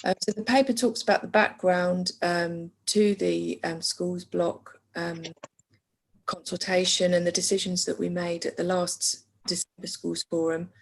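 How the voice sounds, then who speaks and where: Husky, gravelly woman briefing a meeting. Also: on the low side, steady tone. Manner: measured, with frequent hesitation fillers. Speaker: council finance officer. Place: council meeting.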